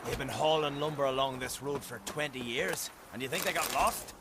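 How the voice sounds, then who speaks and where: A man's voice: medium pitch, normal speed, very noisy, lightly acted. An actor playing a proud, scornful, rugged island man in a video game, speaking bluntly. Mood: proud, challenging. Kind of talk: video game dialogue acting. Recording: loud outdoor ambience with horse hooves.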